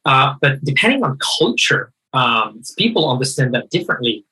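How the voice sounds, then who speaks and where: A man in a conversational discussion, talking in an animated, emphatic way and often rephrasing mid-sentence. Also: medium pitch, moderate pace, husky, poor audio. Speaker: guest speaker.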